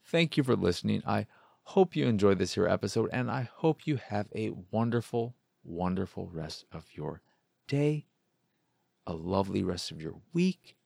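A man's voice: lowish voice, big pitch swings, unhurried, vocal fry, a little mellow, dry recording, clean studio sound. A man hosting a gaming podcast alone, talking in an informal, playful, self-deprecating ramble and sounding tired. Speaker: solo video game podcast host. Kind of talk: casual podcast sign-off, rambling.

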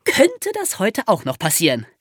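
A woman telling history as a podcast host, in a lively explanatory storytelling style for young listeners. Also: mid-range voice, measured pace, no room sound, studio quality.

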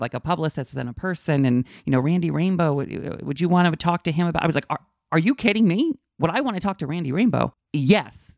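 Hoarse gushing man host. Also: a little high, no room sound, clean studio sound. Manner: gushing, animated, many restarts. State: hoarse from a cold. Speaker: podcast host. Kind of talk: casual chat.

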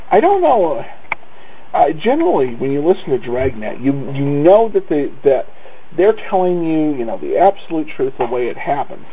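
A man giving casual podcast commentary, halting and often restarting his phrases. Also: a little high. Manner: halting, repeats phrase starts. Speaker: podcast host. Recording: muffled lo-fi podcast, faint noise.

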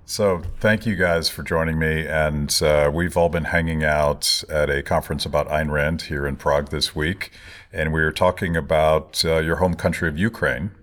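Host: man, extremely low voice, easygoing chat. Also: normal speed. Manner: relaxed, joking, conversational. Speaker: interview host. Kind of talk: casual interview.

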